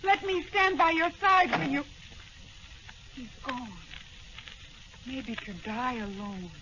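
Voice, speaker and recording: A woman's voice: high-pitched, big pitch swings, takes it slowly, lo-fi sound, heavily acted. A woman playing an earnest, bold young daughter of a police commissioner in melodramatic 1940s radio serial style. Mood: anxious, resolute. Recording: noisy, band-limited old radio recording.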